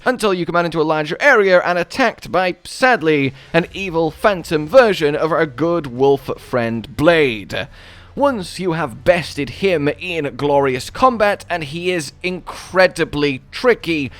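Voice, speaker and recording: A man narrating a scripted video game walkthrough as its host, with playfully florid, wordy phrasing. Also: a little high.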